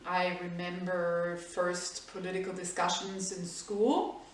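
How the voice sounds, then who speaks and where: A German woman in her fifties with a German accent, recalling her past slowly in an interview. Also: lowish voice, flat delivery, slight background noise. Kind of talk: recalled personal history in an interview. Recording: echoey room, far from the mic.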